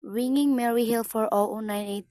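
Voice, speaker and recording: A woman's voice: fairly high pitch, steady tone, moderate pace, heavy background noise, close to an everyday voice. A woman playing a formal, businesslike telephone operator in a radio play. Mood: formal, routine.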